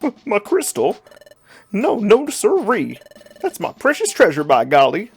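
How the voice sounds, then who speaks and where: A game player voicing an excitable, folksy little beaver creature with an eager, exclamatory manner, in a video game. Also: medium pitch, measured pace, gravelly, husky, faint music behind, pitched above the performer's own voice, heavily acted. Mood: protective, alarmed.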